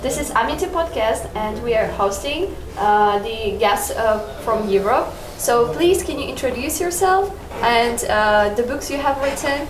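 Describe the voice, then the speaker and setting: A woman's voice: a little high, animated, a little slow, hardly any pauses, a crowd in the background. A woman hosting a podcast interview, speaking in simple, hesitant phrases. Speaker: podcast host. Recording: echoey noisy room.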